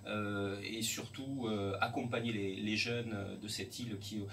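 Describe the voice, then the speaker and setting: Measured, hesitant middle-aged man, distant mic. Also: lowish voice, steady tone, creaky, fairly bright tone. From France. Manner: measured, hesitant with many restarts. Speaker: school principal. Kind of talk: radio interview. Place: radio studio. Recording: distant mic with faint background noise.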